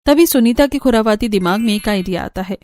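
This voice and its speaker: A woman's voice: on the high side, brisk, a little mellow, faint music behind. A woman reading scripted story narration as the narrator.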